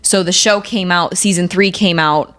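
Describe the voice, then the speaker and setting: A woman's voice: on the low side, steady tone, moderate pace, a bit bright. A woman co-hosting a podcast in casual, chatty, informal banter. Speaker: podcast co-host.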